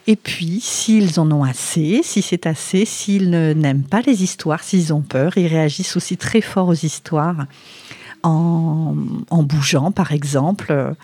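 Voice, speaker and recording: A woman with a southwestern French accent answering interview questions conversationally, often pausing to find her words. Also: sits low, warm tone, slightly rough, no room sound. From southwest France. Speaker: storyteller and writer, interview guest.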